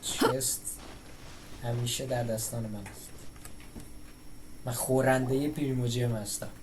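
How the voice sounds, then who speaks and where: A man's voice: mid-range voice, fairly even intonation, racing. A man with an Iranian accent giving casual, playful gameplay commentary, muttering to himself as he plays. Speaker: game streamer. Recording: noisy, with game sound behind.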